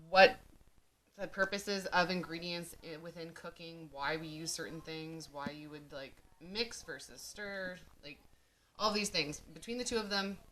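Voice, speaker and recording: A woman's voice: fairly low pitch, fairly bright tone, slight background noise. Chatty young woman with a cold. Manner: chatty, with many fillers and laughs. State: getting over a cold, sniffly. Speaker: cooking vlogger. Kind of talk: casual chat to camera.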